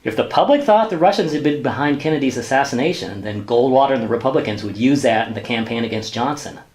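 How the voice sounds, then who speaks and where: Earnest, put-upon male junior lawyer man acting, brisk and animated, deferential straight man in a radio sitcom. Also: medium pitch, close to an everyday voice. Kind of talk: sitcom-style radio play dialogue.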